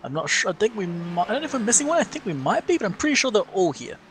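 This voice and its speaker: A man's voice: high-pitched, big pitch swings, racing, fairly bright tone, slight background noise. A man giving chatty, informal co-commentary on sim racing.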